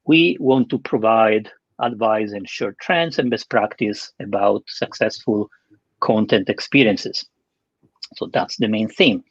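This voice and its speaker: A man's voice: medium pitch, breathy edge, dry recording, dull audio. A man hosting an online interview webinar, introducing and questioning his guest.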